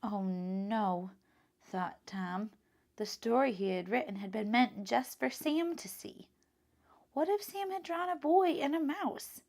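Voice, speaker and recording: A woman reading a children's picture book aloud as a teacher, in an expressive, instructive style. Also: sits high, unhurried, gravelly.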